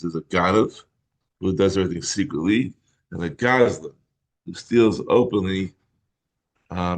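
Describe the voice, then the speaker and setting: A hoarse middle-aged man teaching a Talmud class in a halting way, often clearing his throat. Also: sits low. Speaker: Torah teacher.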